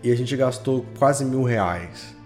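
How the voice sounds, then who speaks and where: Brazilian male podcast guest, mid-pitched, enthusiastic and slangy, loose conversational delivery, light music bed. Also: slow pace, darkish tone. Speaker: podcast guest, audio drama creator and editor. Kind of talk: casual interview chat.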